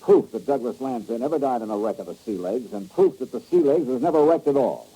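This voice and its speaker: An actor playing a tough, wry insurance investigator in a 1950s radio detective drama, blunt and sardonic in delivery. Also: male voice, medium pitch, lively intonation, brisk, mellow, husky, poor audio.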